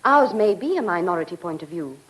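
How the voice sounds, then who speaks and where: A woman's voice: on the low side, sing-song, fairly quick, poor audio. A woman playing a sensible, questioning villager in a British radio drama, with a reasonable manner. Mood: concerned, reasonable.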